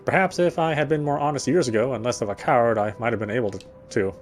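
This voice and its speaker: A man's voice: medium pitch, steady tone, fast talker, soft background music, natural voice. A man playing a guarded, wry young man. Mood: reflective. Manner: introspective, wry. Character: guarded, dry-witted young man, quietly caring. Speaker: game protagonist. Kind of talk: first-person inner monologue and dialogue.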